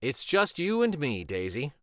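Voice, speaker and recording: Kindly storybook schoolmistress, read by a man: wistful, gentle, unhurried, expressive melody. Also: medium pitch, no room sound, clean studio sound.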